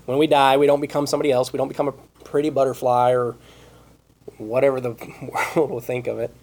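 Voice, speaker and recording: A man preaching a Bible study sermon, reading scripture aloud and asking rhetorical questions, with his voice going hoarse. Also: medium pitch, little pitch movement, fairly quick, a little mellow. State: losing his voice.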